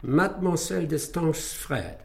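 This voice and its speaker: A man acting a smug, courteous English gentleman detective in a German radio drama, dryly ironic and savouring each phrase. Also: on the high side, normal speed, creaky. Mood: businesslike, determined.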